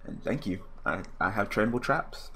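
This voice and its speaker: Hoarse young man ranting over a card game. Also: lowish voice, moderate pace, a little hiss or hum. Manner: profane, rambling, excitable rants. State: hoarse, losing his voice. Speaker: gaming channel host. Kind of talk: casual game commentary.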